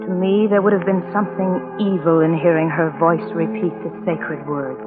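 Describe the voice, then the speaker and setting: A woman's voice: fairly low pitch, steady tone, moderate pace, close to an everyday voice. Actress as haunted woman on tinny old radio. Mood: haunted, grave. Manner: earnest, dramatic, haunted. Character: anxious, horrified woman friend recounting a dark tale. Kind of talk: 1940s radio drama narration and dialogue. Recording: narrow old radio recording with music.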